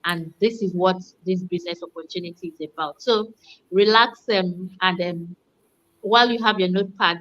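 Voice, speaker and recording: A woman's voice: fairly low pitch, moderate pace, a bit bright. Cheerful woman from Nigeria, dull audio. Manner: cheerful, laughs easily, thankful. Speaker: guest mentor. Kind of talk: livestream self-introduction.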